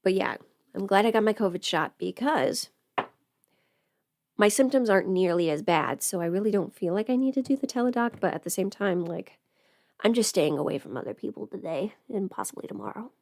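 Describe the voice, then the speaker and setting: A woman with a medium-pitched voice chats casually as a livestream host. She rambles and trails off, half talking to herself while her hands are busy. The sound is dry, with hardly any room to it. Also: animated, fairly quick, gravelly, a little mellow, no echo. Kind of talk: casual chat while doing makeup.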